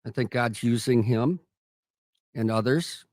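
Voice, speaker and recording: Man with a middle-pitched voice preaching hard on a radio show, emphatic, dry mic. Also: a little slow, a little mellow, dry recording. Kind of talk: preaching commentary.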